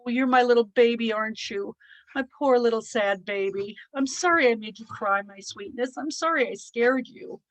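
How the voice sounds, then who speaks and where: A woman's voice: on the high side, moderate pace, dry recording, voice pushed up, close to an everyday voice, poor audio. A woman reading aloud and voicing an exhausted young new mother who coos over her baby and breaks into tears. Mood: cooing.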